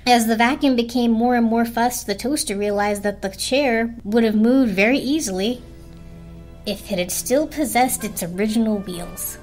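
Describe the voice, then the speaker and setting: A woman's voice: a little high, moderate pace, a little mellow. A woman narrating a children's story as a dramatic storybook reading. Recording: music behind the voice. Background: music.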